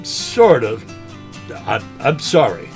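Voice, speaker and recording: Man playing a slow-talking, folksy old man. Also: medium pitch, sing-song, gravelly, over music, full character voice. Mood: nervous, apologetic. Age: older. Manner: halting, folksy, mild exclamations. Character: forgetful old man, folksy, nervous, teasing lifelong fisherman. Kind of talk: naturalistic short film drama acting.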